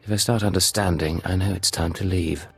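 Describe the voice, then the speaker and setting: Low, gravelly, curt secret agent. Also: male voice, fairly even intonation, fairly quick, no echo, faint music behind, full character voice. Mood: dry, skeptical. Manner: dismissive, dry, commanding. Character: curt, sardonic male imperial secret agent. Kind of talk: video game voice acting.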